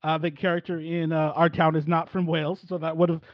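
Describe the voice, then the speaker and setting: A man's voice: fairly high pitch, level tone, fairly quick, dry recording. A man hosting an interview podcast, asking rambling questions that he restarts often. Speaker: podcast host. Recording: dry, dull lo-fi audio.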